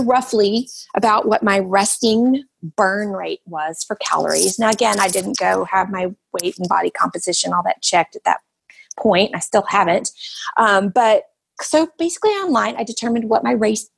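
Gravelly, husky woman in her fifties. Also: medium pitch, low-quality recording. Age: 50s. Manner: relaxed, explains step by step with many fillers. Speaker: podcast guest. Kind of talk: casual interview chat.

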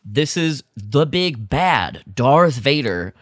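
A man with a mid-pitched, very animated voice in a dry recording. He talks casually as a podcast co-host, breaking up his sentences and leaning hard on key words. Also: dry recording. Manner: halting, emphatic, peppered with "like". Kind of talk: casual fan discussion.

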